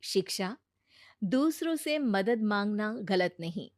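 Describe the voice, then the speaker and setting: A woman's voice: mid-range voice, lively intonation, slow pace, darkish tone, no room sound, clean studio sound. A woman narrating a scripted cartoon moral story as the storyteller.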